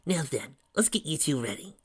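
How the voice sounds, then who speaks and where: A man voicing a brisk, reassuring motherly woman in an amateur story reading. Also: fairly high pitch, very animated, husky, pitched above the performer's own voice.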